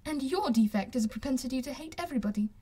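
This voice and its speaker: A woman voicing a witty, self-assured young gentlewoman in an audiobook, with a playful, teasing and articulate manner. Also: high voice, animated, very fast, husky, pitched above the performer's own voice. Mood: wry. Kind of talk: audiobook character voice.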